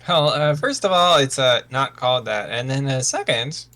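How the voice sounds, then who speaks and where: A man's voice: mid-range voice, animated, moderate pace, bright, forceful tone. A man playing a sensitive, anxious misfit son of warriors, hesitant and earnest, often stammering. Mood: nervous.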